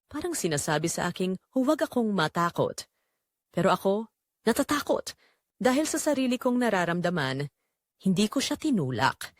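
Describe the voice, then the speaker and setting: A woman narrates a scripted first-person drama with measured, emotive delivery. Her medium-pitched voice moves expressively up and down, and the recording is dry and close. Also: big pitch swings, no room sound.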